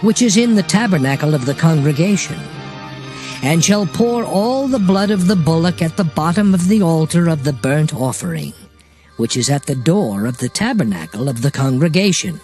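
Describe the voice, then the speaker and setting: Male scripture narrator, higher-pitched voice, solemn and formal dramatized audiobook read, music underneath. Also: darkish tone. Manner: formal, measured reading of archaic scripture.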